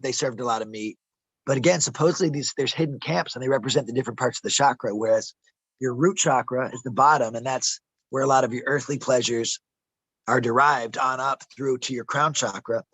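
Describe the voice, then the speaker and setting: A man's voice: medium pitch, brisk, fairly bright tone, husky, dry recording, low-quality recording. A man telling rambling, conspiratorial stories in a casual interview, calling the listener bro and man and stumbling over some words. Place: outdoors in a desert camp.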